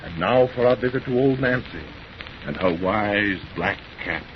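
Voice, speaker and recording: A man's voice: fairly low pitch, a little slow. A man reading a scripted sponsor message as a formal, earnest announcer in 1930s radio style. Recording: muffled, hissy old radio recording.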